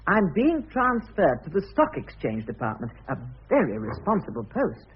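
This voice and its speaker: A man's voice: a little high, wildly swooping pitch, moderate pace, breathy edge, exaggerated voice. An actor in a radio play voicing a timid, earnest bank clerk, a fussily polite man who hesitates, stammers and apologises. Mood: earnest, prim. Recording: dull, muffled old radio drama recording.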